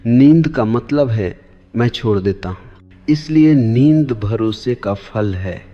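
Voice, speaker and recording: A man giving a meditative spiritual discourse for sleep, deliberate, in short measured phrases that often repeat. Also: medium pitch, expressive. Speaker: spiritual teacher. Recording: long hall reverb, dull lo-fi sound. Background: clear background music.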